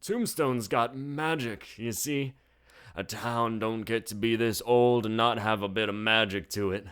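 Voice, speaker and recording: A man's voice: fairly low pitch, a little slow, fairly bright tone, clean tone, voice pushed up. Man putting on a drawling old Western bartender. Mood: folksy, mysterious. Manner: drawling, folksy, theatrical. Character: folksy old male saloon bartender, boastful storyteller.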